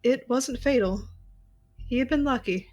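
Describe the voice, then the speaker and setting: A woman's voice: high voice, unhurried, darkish tone, a little hiss or hum. A woman playing an angry, shaken young warrior in a dramatic first-person audio drama. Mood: tense narrating.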